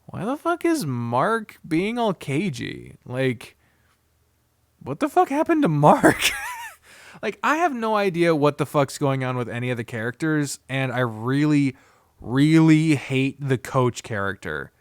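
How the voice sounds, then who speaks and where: A man's voice: on the high side, very animated, a little slow, no echo, clean studio sound. A man giving a casual, unscripted video review as a streamer, rambling candidly and swearing freely. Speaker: visual novel streamer and reviewer.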